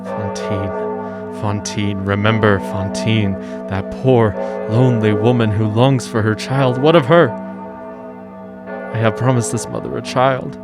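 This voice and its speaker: Man playing tormented, brooding ex-convict, slow and low. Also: fairly even intonation, over loud music. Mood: agitated, self-justifying. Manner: brooding, halting, self-questioning soliloquy. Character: tormented ex-convict mayor wrestling with his conscience. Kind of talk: radio drama acting.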